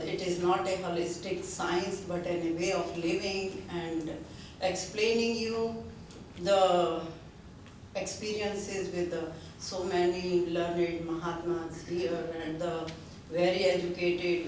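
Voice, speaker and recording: Slow, halting older woman in echoey hall. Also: fairly low pitch, a little hiss or hum. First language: Hindi. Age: 70s. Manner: hesitant, many pauses and fillers. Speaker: seminar speaker. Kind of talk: halting speech to a gathering. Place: seminar hall. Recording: very echoey hall, distant mic.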